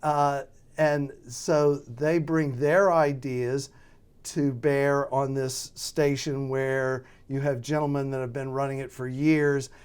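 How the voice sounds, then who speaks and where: An American man being interviewed as a radio drama producer, talking casually and haltingly as he thinks aloud. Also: medium pitch, little pitch movement, darkish tone, clean tone.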